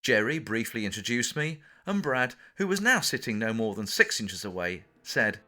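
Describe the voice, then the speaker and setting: A man's voice: medium pitch, sing-song, normal speed, pushed and bright, faint music behind. A man with an English accent reading his own memoir for an audiobook, telling the anecdote with theatrical, dramatic emphasis. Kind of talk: audiobook narration, scripted anecdote.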